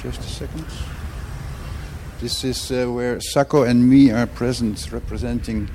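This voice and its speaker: A man hosting, presenting clips and interviewing guests in a measured way with pauses. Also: mid-range voice, fairly even intonation, mellow, big-room sound, faint music behind. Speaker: host.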